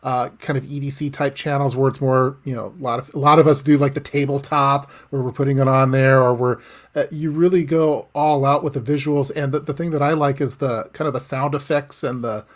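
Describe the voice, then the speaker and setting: A mid-pitched man hosts a casual live chat with a guest. He talks haltingly, restarting his phrases and slipping in small laughs. The mic sits close to him. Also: steady tone. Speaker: host.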